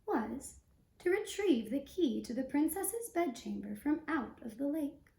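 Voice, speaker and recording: A woman narrating a scripted fairy tale for a puppet show, measured, with long breaks between phrases. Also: sits high, big pitch swings, creaky, warm tone, reverberant.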